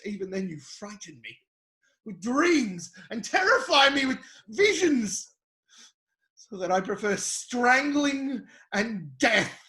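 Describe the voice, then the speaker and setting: Casting: actor for anguished, impassioned biblical sufferer, slow and halting delivery, dramatic reading in an echoing room. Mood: despairing. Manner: impassioned, halting, swinging from low murmurs to anguished shouts. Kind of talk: epic dramatic Bible reading. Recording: roomy, echoing space.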